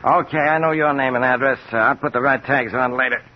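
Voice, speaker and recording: A man's voice: medium pitch, fairly even intonation, fairly quick, lightly acted. An American actor playing a plain-spoken working-class delivery man, informal and a little hesitant, in a 1950s radio drama. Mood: businesslike. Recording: muffled, hissy vintage radio recording.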